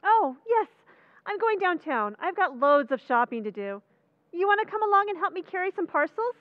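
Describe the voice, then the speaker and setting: An actress playing a doting but easily exasperated American housewife and mother in an expressive old-time radio comedy style. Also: extremely high pitch, moderate pace, no echo, lo-fi sound. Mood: brisk.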